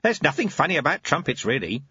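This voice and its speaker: A man voicing a very old monk who speaks in a calm, patient and dryly humorous way. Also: a little high, fast talker, breathy edge, dry recording, highs cut off, pitched above the performer's own voice, heavily acted. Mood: calm, wry.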